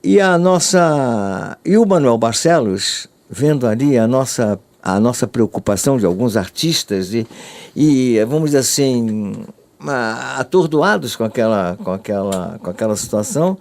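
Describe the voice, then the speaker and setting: Older Brazilian man recalling, medium pitch. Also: animated, vocal fry, darkish tone. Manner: halting, repeats phrases. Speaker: radio artist giving testimony. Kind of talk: recollection in an interview.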